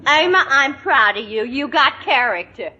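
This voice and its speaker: An actress playing a brash, touchy, bossy friend who talks in blunt wisecracks in a 1940s radio comedy. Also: female voice, high voice, expressive, moderate pace, bright, forceful tone, dull audio. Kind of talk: 1940s radio sitcom acting. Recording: noisy 1940s radio recording.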